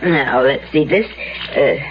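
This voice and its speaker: A woman's voice: extremely low pitch, lively intonation, slow pace, heavily acted. An actress playing a chatty, doting older mother of a convict in a vintage radio crime drama, homely, fussy and rambling. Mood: hospitable. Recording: dull, noisy old radio recording.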